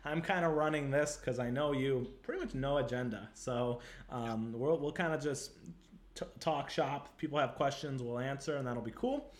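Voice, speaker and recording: A man with a Chicago accent hosts a live online show in a loose, upbeat chatter. He leans on fillers and rambles happily while greeting viewers. His voice sits at an ordinary middle pitch. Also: moderate pace. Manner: chatty, upbeat, lots of "like" and "totally". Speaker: livestream show host. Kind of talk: casual live interview chat.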